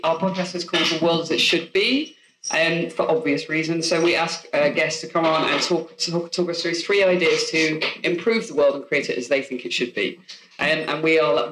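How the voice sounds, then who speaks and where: A woman's voice: on the low side, fairly bright tone. Female host opening a live show, stumbling and jokey, roomy echo, faint background noise. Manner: hesitant, stop-start, joking. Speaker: podcast host. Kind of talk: live podcast introduction. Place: live event room. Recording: echoey room.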